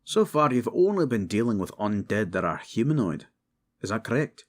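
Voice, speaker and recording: A man's voice: lowish voice, big pitch swings, moderate pace. A man voicing a dour but generous dwarf king with a blunt, commanding way, in a fantasy audio drama. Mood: grave warning.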